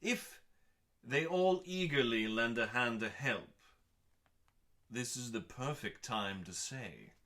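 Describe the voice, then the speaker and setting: A male host delivers scripted text as mock drama. His voice sits at a middling pitch with a bright, forceful edge. He takes his time, leaving theatrical pauses and sounding grandly self-important. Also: animated. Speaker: host reading comedic cookbook recipes.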